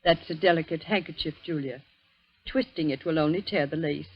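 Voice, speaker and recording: Solicitous but controlling older guardian, husky voice, 1940s radio drama, muffled vintage recording. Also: female voice, low-pitched, brisk. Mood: cool, controlling.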